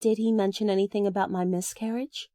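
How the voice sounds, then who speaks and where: A woman playing a sweet-talking, slyly passive-aggressive ex-partner of a soldier in a comedic game parody, prim and breezy with dark understatement. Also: mid-range voice, steady tone, brisk, no room sound, studio quality, natural voice. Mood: sweetly sly.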